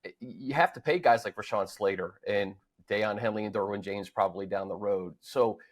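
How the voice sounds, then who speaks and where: A man hosting a sports show, offering conversational analysis in a measured way, pausing to pick his words. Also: lowish voice.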